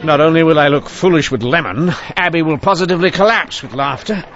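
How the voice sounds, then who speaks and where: An actor playing a brisk, dogged Victorian police inspector in an old-time radio mystery, deliberate and formally courteous. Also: male voice, a little high, a bit bright, soft background music. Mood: brooding, wry. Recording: muffled radio drama recording.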